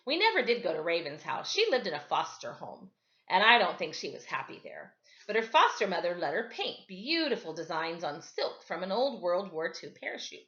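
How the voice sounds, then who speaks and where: Female storyteller, bright tone, lively pitch, children's read-aloud, slightly dull recording. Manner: animated, dramatizes dialogue. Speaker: storybook reader, teacher.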